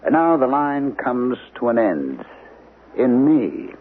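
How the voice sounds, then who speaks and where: A man's voice: mid-range voice, very animated, takes it slowly. An actor playing a brooding forty-two-year-old male writer who narrates his own story in a wry, musing way. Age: 40s. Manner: wry, musing, drifting into gloom. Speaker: narrator and lead. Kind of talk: 1970s radio drama acting. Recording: tinny lo-fi old radio broadcast with faint hiss.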